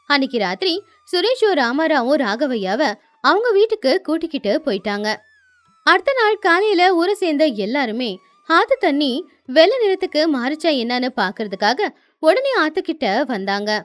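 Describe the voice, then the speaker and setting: A woman narrating a scripted moral tale as a storyteller. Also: high-pitched.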